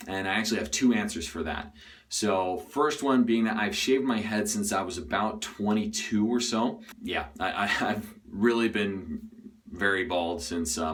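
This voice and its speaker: A man hosting a shaving vlog from a hotel bathroom, his voice slightly raspy from days of talking, rambling with many uhs and restarts. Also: low-pitched, a bit bright, recorded from a distance. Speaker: shaving video host. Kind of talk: casual to-camera vlog.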